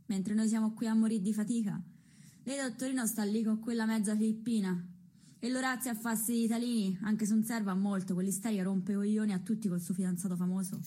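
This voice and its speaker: A woman's voice: medium pitch, steady tone, quick pace, dark tone, natural voice. Sardonic, coarse actress as a woman clinic worker. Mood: bitter, grumbling. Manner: sardonic, grumbling, colloquial. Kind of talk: theatrical radio drama acting.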